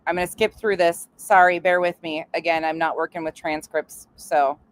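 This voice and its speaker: A woman's voice: lowish voice, steady tone, moderate pace, clear, resonant tone. Blunt, sarcastic woman doing reaction commentary. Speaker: live stream commentator.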